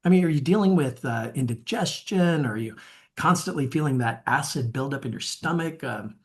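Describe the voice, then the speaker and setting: A man's voice: mid-range voice, animated, moderate pace. A man coaching in a webinar, upbeat, asking viewers to rate themselves. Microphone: webinar mic.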